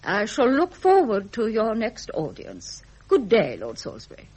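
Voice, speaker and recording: A stern, regal older queen in a vintage radio drama. Her upper-class British speech is formal and dismissive, with lively swoops in pitch and a slightly husky, unclear edge. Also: normal speed, full character voice. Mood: gracious, formal. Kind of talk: period radio drama acting.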